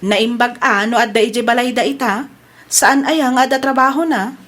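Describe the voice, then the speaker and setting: A woman's voice: a little high, moderate pace, fairly bright tone. A woman with an Ilocano accent acting a practical, questioning wife in a radio drama. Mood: inquiring.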